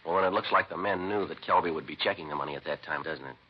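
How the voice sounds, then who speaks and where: A clipped, deep-voiced police sergeant. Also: male voice, racing, slightly rough. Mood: questioning. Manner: clipped, flat, matter-of-fact. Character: terse male police sergeant, middle-aged, businesslike. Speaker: police detective narrator. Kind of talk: 1950s radio drama acting. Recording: dull vintage radio broadcast.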